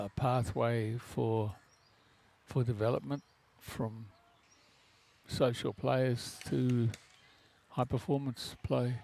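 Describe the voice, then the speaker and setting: A man co-commentating in a relaxed, halting way, restarting phrases often. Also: mid-range voice, vocal fry. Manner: halting, with many restarts and uh fillers.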